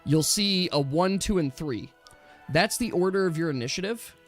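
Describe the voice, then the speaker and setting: A man's voice: high voice, animated, normal speed. A man acting as game master in a casual tabletop game, explaining rules step by step. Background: background music.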